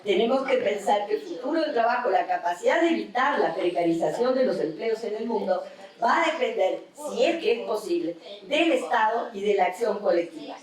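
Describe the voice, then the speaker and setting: A middle-aged woman with a Mexican accent, an academic panelist giving a measured, explanatory seminar talk with tag questions. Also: medium pitch, animated. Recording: very echoey hall from far off.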